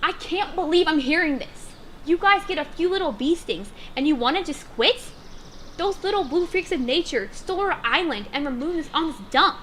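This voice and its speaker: A woman's voice: high-pitched, expressive, normal speed, a bit bright, a little hiss or hum. A woman playing a scornful, bossy female creature in an audio drama, scolding and snippy.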